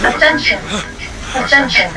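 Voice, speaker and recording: A woman playing a calm automated announcer in a video game, giving formal announcements in a measured, solemn, detached way. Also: high voice, very noisy.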